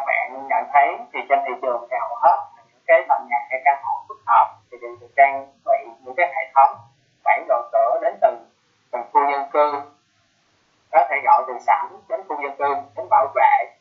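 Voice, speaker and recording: Man presenting over a tinny call line. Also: medium pitch. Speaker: webinar presenter. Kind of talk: live technical product presentation, explanatory. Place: online video conference. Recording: muffled phone-like web call audio.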